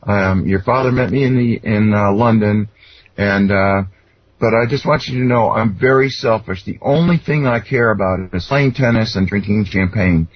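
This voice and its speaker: Husky guy being interviewed, earnest rambling personal stories, kinda muffled lofi sound. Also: lowish voice, normal speed, no echo. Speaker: interview guest, self-described contactee. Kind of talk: conversational personal storytelling.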